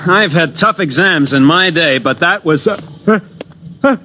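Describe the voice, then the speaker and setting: A sarcastic young student with a cold, his voice sitting somewhat high as he hems and stammers. A clear hiss runs under him on an old radio broadcast recording. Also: animated, measured pace, pushed and bright. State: has a cold.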